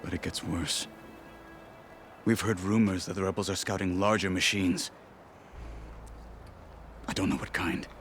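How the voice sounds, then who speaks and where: Very deep, grim warrior marshal. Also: male voice, steady tone, faint music behind. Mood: grave, informative. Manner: terse, stern, weighty deliberate delivery. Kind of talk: dramatic video game cutscene acting.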